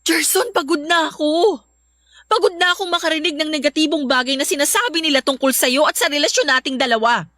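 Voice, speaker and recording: A woman's voice: high-pitched, fairly quick, sharp tone, no room sound, studio quality. A woman with a Filipino accent acting as a weary, exasperated young girlfriend in a radio drama, defensive and strained. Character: weary, exasperated young teacher girlfriend torn under pressure.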